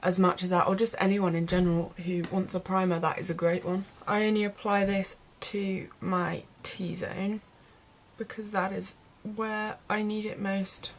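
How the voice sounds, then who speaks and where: A woman's voice: mid-range voice, little pitch movement, measured pace, a little hiss or hum. A woman with a mid-range voice talks in the casual style of a beauty vlogger. She explains each step informally, addressing viewers directly as in a home-style tutorial chat.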